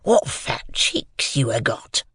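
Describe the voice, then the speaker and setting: A man voicing a menacing, ragged convict in a storybook, with slow, drawn-out rustic threats. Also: mid-range voice, very animated, creaky, a bit bright, husky, no room sound, studio quality, full character voice. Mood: hungry, threatening.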